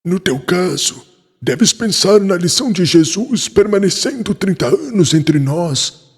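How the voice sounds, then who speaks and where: Actor as a very husky, wise spiritual mentor. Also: male voice, high-pitched, lively intonation, heavily acted. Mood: grave, instructive. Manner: solemn, measured, didactic. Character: wise, patient spiritual mentor counseling a disciple. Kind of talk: audiobook dramatized dialogue. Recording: long reverb on the voice.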